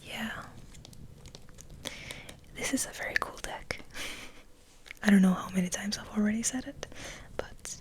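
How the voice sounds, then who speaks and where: Woman whispering slowly in an ASMR ramble. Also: medium pitch, poor audio. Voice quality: soft, breathy whisper. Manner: gentle, unhurried, musing. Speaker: ASMR host.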